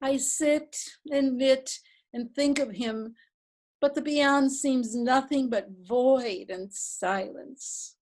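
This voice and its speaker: High, unhurried woman playing a doubtful widow, plaintive. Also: a little mellow, slight background noise. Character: widow doubting her husband's spirit. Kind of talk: readers theatre reading.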